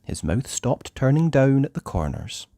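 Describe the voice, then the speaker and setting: A storyteller reading a children's book aloud in a theatrical, deliberate way with drawn-out emphasis. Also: medium pitch, sing-song, gravelly, warm tone, no room sound, studio quality. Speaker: storybook narrator. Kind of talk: expressive children's read-aloud narration.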